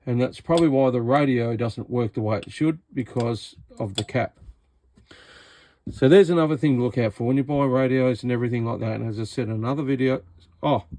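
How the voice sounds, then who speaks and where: A man's voice: medium pitch, a little fast, mellow. An Australian man giving a casual, hands-on radio repair demonstration, talking informally with an incredulous, exasperated manner. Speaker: radio repair vlogger and seller.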